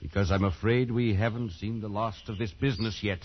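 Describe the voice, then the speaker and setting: A man acting as a stern old-time colonial hand in a radio play, with a lowish voice. He is authoritative and admonishing, a grim man of experience. The vintage recording is dull, with faint noise behind him. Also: steady tone, a little fast, natural voice. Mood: steadying, grim. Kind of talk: post-war radio drama acting.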